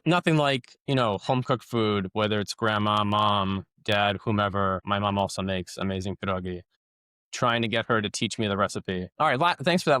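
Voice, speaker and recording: A man's voice: lowish voice, a bit bright, clear, resonant tone, dry recording. A man hosting a podcast, asking casual interview questions with lots of fillers and stumbling restarts.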